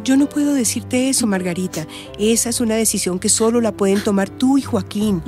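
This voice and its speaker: Radio soap role: woman as compassionate adviser, mellow tone, faint music beneath. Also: fairly high pitch, a little fast, natural voice. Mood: gentle, serious. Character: calm, compassionate woman counseling a troubled girl. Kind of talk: radio drama acting.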